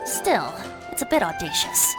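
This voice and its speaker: A woman's voice: medium pitch, wildly swooping pitch, a little slow, loud music behind the voice. A woman voicing a whimsical boyish young bard in an anime-style video game, with flowery, theatrical, playful speech.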